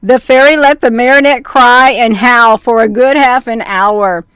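A woman's voice: a little high, fairly even intonation, measured pace, fairly bright tone, clean tone, no room sound. A middle-aged woman reading a children's story aloud at a close desk mic, folksy and easily amused, with casual asides. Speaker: storyteller, host. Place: small room indoors. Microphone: large desk microphone up close.

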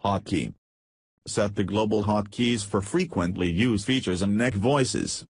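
Low, husky-voiced man narrating a software demo. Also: unhurried, no room sound, studio quality. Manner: reads feature lists in short headed segments. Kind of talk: scripted promotional narration.

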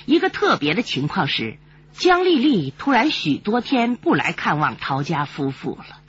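Husky, lowish-voiced police officer. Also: female voice, big pitch swings, normal speed, full character voice. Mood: measured. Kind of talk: radio drama narration-like report. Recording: dull, muffled radio drama audio.

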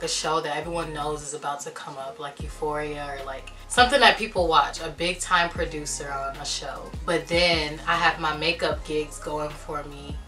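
Young woman vlogging from her bedroom, deep-ish raspy voice, bright tone, soft music behind. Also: distant mic. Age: young adult. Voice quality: deep and a bit raspy at times. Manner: chatty, animated storytelling with lots of fillers. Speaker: YouTuber answering viewer questions. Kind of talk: casual chat. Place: small bedroom, indoors. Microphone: camera at a distance.

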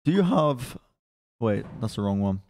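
Laughing, slow-talking podcast man. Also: lowish voice, sing-song, darkish tone. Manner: reading phrasebook lines, stumbling over Finnish with laughs. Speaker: podcast co-host. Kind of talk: casual podcast banter. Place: studio room with desk and flags. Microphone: desk microphone.

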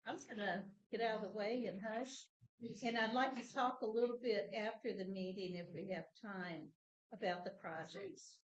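A woman's voice: medium pitch, normal speed, dull audio. A woman giving chatty, casual announcements to a class before a talk. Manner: chatty, laughs easily, loose phrasing. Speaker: training coordinator introducing the speaker. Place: classroom, indoors. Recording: echoey classroom.

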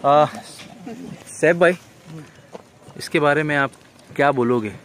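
A chatty man with a fairly high voice, hosting a casual interview and filling pauses with murmurs of agreement. He is recorded outdoors at night on a handheld camera, with faint background hiss. Talk runs in an easy, informal flow. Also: fairly bright tone. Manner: chatty, prompting with frequent hmm and haan. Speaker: vlogger interviewing a goat farm owner. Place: outdoor goat farm yard at night.

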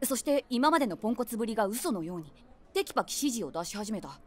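A woman playing a nosy, wry middle-aged eavesdropper, narrating her inner thoughts with deadpan, teasing asides. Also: fairly high pitch, fast. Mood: amused, observing. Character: nosy, wry 46-year-old eavesdropping woman with wild imagination. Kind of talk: scripted comedic inner-monologue narration. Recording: music behind the voice.

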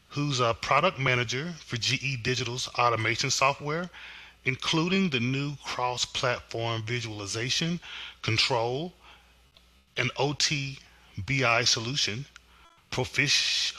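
Bright-voiced man hosting a webinar. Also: medium pitch. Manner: measured, formal; stumbles on a product name. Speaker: webinar host. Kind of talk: reading a scripted introduction.

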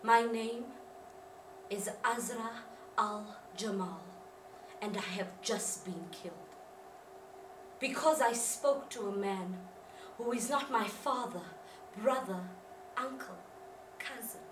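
An actress playing a wronged, anguished young woman who speaks after her own killing, in a solemn monologue of deliberate, halting phrases. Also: fairly high pitch, pitched below the performer's own voice. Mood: solemn, hushed. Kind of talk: solemn dramatic stage monologue. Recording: roomy, distant mic, faint noise.

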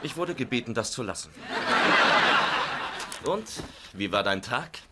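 An actor playing an easygoing, wisecracking working-class husband in a German sitcom dub, joking sheepishly, over noisy TV audio. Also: male voice, medium pitch, big pitch swings, measured pace. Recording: noisy dubbed TV audio with laugh track.

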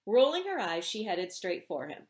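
A middle-aged woman reads fiction aloud as its author. Her pitch moves in a lively way over a medium register. The room adds noticeable echo around her voice. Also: normal speed. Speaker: author reading from own novel. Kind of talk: expressive read-aloud narration of a romance novel. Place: indoor room with bookshelves. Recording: roomy indoor echo.

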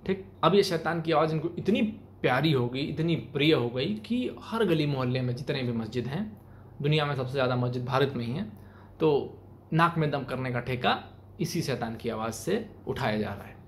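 A man's voice: mid-range voice, expressive, normal speed. A young man with an Indian accent giving emphatic, colloquial opinion commentary to camera in a small room. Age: young adult. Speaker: video commentator, channel host. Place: small plain room indoors. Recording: clearly noisy room recording.

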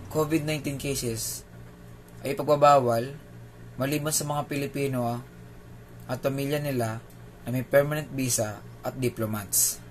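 A man with a Filipino accent presents a scripted news explainer as a video host. His voice sits at an average pitch for a man. The recording was made indoors, with faint noise behind the speech. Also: rough recording.

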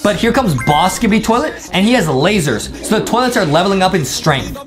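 Animated young American man with lively pitch. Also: a little fast. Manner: animated, exclaiming, repeats phrases. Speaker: host. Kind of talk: excitable reaction commentary for children. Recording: music behind the voice.

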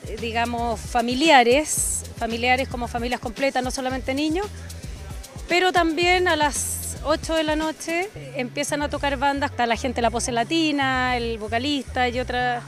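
A woman's voice: high voice, steady tone, normal speed, faint music behind. Woman chatting loosely about an event. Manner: loose, searching speech with fillers. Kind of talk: casual interview answer.

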